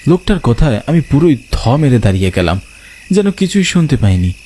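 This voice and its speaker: A man's voice: medium pitch, very animated, brisk, warm tone, a little hiss or hum. A man telling a horror story in dramatic first-person narration.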